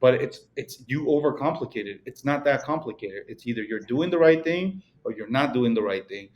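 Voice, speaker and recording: A middle-aged man with a Mexican accent speaks as a podcast guest at a mid pitch. He rambles in a loose, casual way, leaning on "you know" and "like" as he goes. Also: animated, brisk, highs cut off. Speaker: podcast guest, real estate broker. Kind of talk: casual interview conversation.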